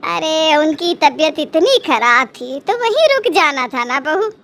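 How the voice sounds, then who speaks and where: A woman plays an elderly cartoon mother-in-law with a high, lively voice that swings widely. She talks slowly and syrupily, milking her self-pity like a martyr. There is noise behind her. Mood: sweetly caring. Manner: melodramatic, self-pitying, syrupy. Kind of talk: Hindi cartoon moral story acting.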